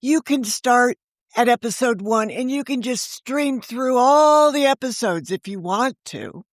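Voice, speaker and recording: A woman's voice: a little high, animated, unhurried, no room sound. A woman around seventy, a guest on a podcast, talking chattily and often speaking over the host. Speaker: novelist and podcast creator, guest. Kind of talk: casual interview chat.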